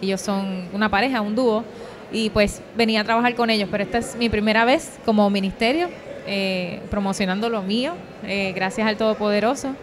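A woman's voice: medium pitch, brisk. Young Puerto Rican woman, crowd behind. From Puerto Rico. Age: young adult. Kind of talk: personal testimony in interview. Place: convention booth. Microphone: handheld microphone. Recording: crowd chatter at event.

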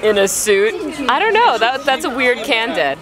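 A woman's voice: fairly high pitch, sing-song, normal speed. A young adult woman, chatting casually as a travel vlogger into a handheld camera. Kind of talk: casual travel vlog chat. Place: outdoor city sites and large busy bazaar. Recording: loud music and crowd behind.

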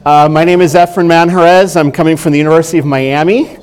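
A man's voice: a little high, fairly even intonation, fast talker, a little mellow, clear, resonant tone. A middle-aged man from Mexico, a physician giving a conference lecture on stage in a friendly, conversational way, asking the audience questions. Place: dark stage, indoors.

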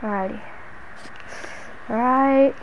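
A woman's voice: a little high, slow. A female gamer improvising role-play in a slangy, dramatic, exclamatory way. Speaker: gamer narrating a role-play short film. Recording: noisy home gaming recording.

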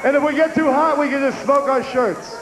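High-voiced older man joking from a festival stage. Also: fairly even intonation, brisk, heavy background noise. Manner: shouted crowd chants, goofy puns. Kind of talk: joking stage banter and crowd chants. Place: outdoor festival stage before a huge crowd. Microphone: handheld mic. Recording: echoey outdoor PA with loud crowd.

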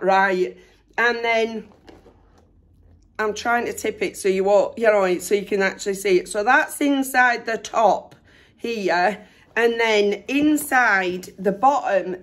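A middle-aged woman chatting excitedly to camera from her bedroom, her voice still hoarse after losing it to illness. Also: mid-range voice. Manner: chatty, excited, self-deprecating. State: hoarse, recovering from lost voice. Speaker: vlogger. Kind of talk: casual chat. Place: bedroom at home, indoors.

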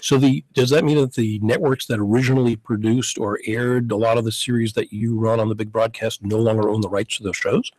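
Husky middle-aged American male radio host. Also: on the low side, steady tone, brisk, few pauses, a little mellow, no room sound, rough recording. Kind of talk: live radio interview hosting. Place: small radio studio. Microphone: close studio condenser mic, headset on.

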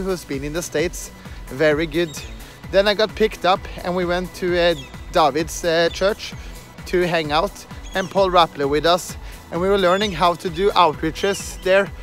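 A man in his thirties from Norway, speaking English with a Norwegian accent, talks haltingly to a phone held at arm's length as he walks outdoors at night. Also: fairly high pitch, a bit bright. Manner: halting, many fillers and restarts, earnest. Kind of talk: casual walking vlog. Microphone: phone camera held at arm's length.

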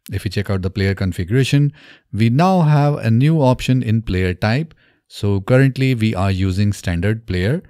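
A man in his thirties narrating a software tutorial step by step, in an instructional way, close to the microphone. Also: on the low side, no room sound. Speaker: tutorial presenter. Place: small indoor home studio. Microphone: close desk microphone on a boom arm.

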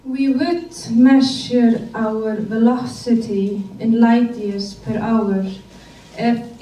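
Young woman, poet, slow deliberate reading, mellow voice, echoey room, distant mic. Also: a little high. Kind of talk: poetry reading. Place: small gallery room with audience. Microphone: stand mic, filmed from far camera.

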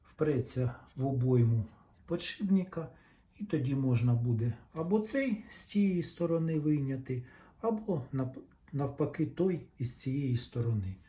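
A man gives an unscripted hands-on repair tutorial, explaining patiently step by step with short pauses. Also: mid-range voice, expressive, mellow. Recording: roomy echo, faint background noise.

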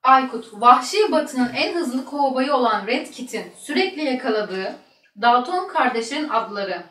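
Heard from off the mic in an echoey room, a woman reads out quiz questions. Her voice is high-pitched. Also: lively intonation, normal speed, a bit bright, far from the mic, slight background noise. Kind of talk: reading quiz questions aloud.

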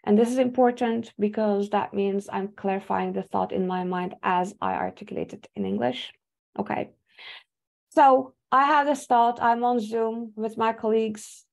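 A woman's voice: fairly high pitch, expressive, moderate pace, vocal fry. A young woman, an English speaker, giving a webinar talk from slides over a video call, thoughtful with many fillers and restarts. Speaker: academic speaker. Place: home room indoors. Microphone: video call webcam.

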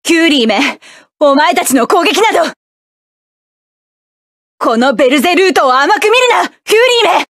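A proud, sharp-tongued mecha pilot. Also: female voice, sits high, lively intonation, moderate pace, pauses often, no echo, clean studio sound. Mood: fierce, commanding. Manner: curt, commanding barks. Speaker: game character. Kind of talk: video game battle voice lines.

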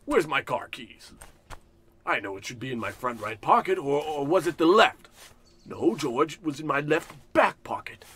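A man's voice: a little high, animated, moderate pace, fairly bright tone. A man playing a pompous, cantankerous, scornful rich businessman in a comedic old-time radio play. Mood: flustered. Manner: pompous, grumbling to himself.

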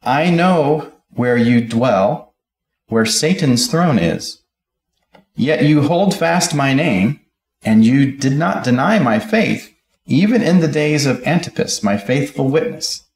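A man's voice: mid-range voice, lively intonation, slow pace, a little mellow, echoey room. A middle-aged man preaching a sermon at a pulpit, reading scripture aloud and explaining it verse by verse. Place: church auditorium, speaking at a pulpit.

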